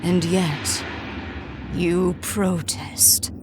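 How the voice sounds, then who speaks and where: Game villain spirit: solemn, archaic, seductively calm, deep for a woman, drawn-out delivery. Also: noisy background. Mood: cold, commanding. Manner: solemn, deliberate, archaic phrasing. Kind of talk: video game villain monologue.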